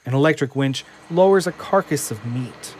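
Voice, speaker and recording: A man's voice: mid-range voice, expressive, normal speed, darkish tone, slight background noise. A man narrating a scripted audio drama in a dramatic, descriptive way.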